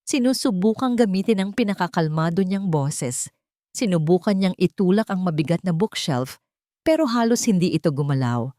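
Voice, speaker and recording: Female storyteller, lower register, dry studio sound, dramatic scripted romance narration. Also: expressive, normal speed, mellow, no room sound.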